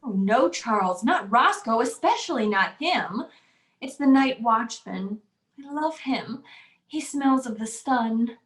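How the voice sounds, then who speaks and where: A woman's voice: fairly high pitch, animated, slow, a bit bright. An actress playing a timid, naive young servant woman in a radio drama, speaking with fearful, innocent earnestness. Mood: confiding. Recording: roomy, echoing voice track.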